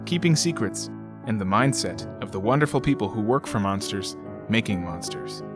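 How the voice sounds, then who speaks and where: A man's voice: on the low side, animated, moderate pace, music in the background. A man narrating an audio drama, reading letters and documents in a scripted style.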